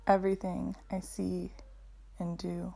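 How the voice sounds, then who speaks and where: A woman teaching yoga and guided meditation in a soothing, measured way with deliberate phrasing. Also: fairly low pitch, monotone, gravelly, warm tone.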